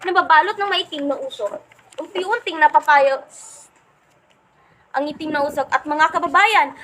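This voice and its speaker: A woman playing an excitable field reporter in a radio play, dramatically addressing listeners as if reporting live. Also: very high-pitched, slight background noise.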